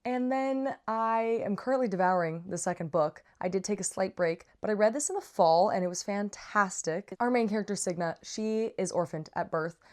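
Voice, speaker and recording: A woman's voice: medium pitch, moderate pace, few pauses, darkish tone. A woman giving a casual, chatty book review with slangy asides and playful, dramatic emphasis. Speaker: book reviewer, vlog host.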